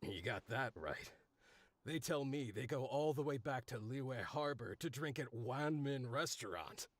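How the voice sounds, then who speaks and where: Laid-back male laborer, chatty and good-natured, mid-pitched. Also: lively intonation, measured pace, no room sound. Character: friendly, easygoing adult male site worker. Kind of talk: video game voice acting.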